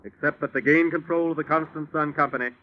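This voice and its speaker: An actor playing an earnest, folksy American pastor and former army chaplain in 1950s radio drama style, speaking persuasively and emphatically. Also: male voice, fairly high pitch, steady tone, fast, natural voice. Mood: grave, confiding. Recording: muffled, noisy vintage radio broadcast.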